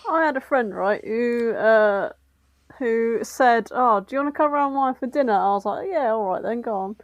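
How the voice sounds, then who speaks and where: Female podcast co-host, fairly high voice, chatty and giggly, dry sound. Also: moderate pace, dry recording, muffled recording. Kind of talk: casual chat, telling anecdotes.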